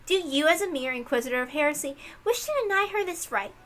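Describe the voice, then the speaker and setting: Woman doing a very high-pitched prim inquisitor. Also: animated, fast talker, fairly bright tone. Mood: stern, ruthless. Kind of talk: visual novel read-aloud.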